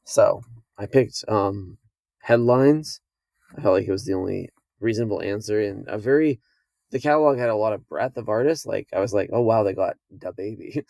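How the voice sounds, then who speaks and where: A young man co-hosting a podcast, hoarse and croaky from losing his voice, chatting casually and joking. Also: on the low side, normal speed, darkish tone, dry recording. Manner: joking, laid-back, laughs often. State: hoarse, lost voice. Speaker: podcast co-host. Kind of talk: casual chat.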